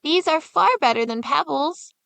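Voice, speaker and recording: An earnest young boy, as a woman reader voices him, a raised, bright voice urging his sister on in a fairy tale. Close and dry in sound. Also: high voice, normal speed, vocal fry, no room sound, voice pushed up. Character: young boy, hopeful older brother.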